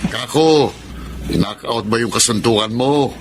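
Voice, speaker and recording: An actor playing a kindly, good-humoured parish priest in a radio drama, with a teasing manner and a Filipino accent. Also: male voice, medium pitch, a little slow, noisy background, close to an everyday voice.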